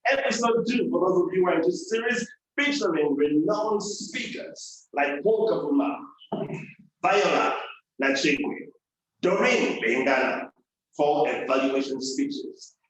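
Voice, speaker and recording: A man's voice: a little high, lively intonation, a little slow, fairly bright tone, slight background noise. A man with a Ugandan accent putting on a grand, theatrical movie trailer announcer in a live parody. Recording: very echoey room, distant microphone.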